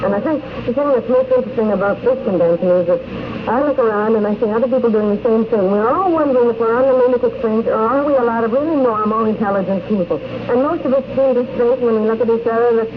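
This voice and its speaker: A woman speaks earnestly to an interviewer, telling her account in measured, articulate sentences. It is an old radio recording with a dull sound and plain background noise. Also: on the high side. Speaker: convention attendee being interviewed. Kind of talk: unscripted personal account in interview. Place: convention in the countryside.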